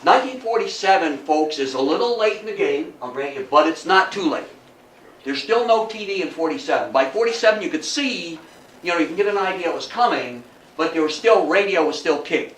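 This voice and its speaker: A man's voice: on the high side, lively intonation, a little fast, fairly bright tone. Older man lecturing, echoey and distant. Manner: folksy, emphatic, repeats himself. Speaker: guest speaker, old-time radio hobbyist. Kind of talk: informal public lecture. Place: room at a local society talk. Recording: distant echoey room, faint noise.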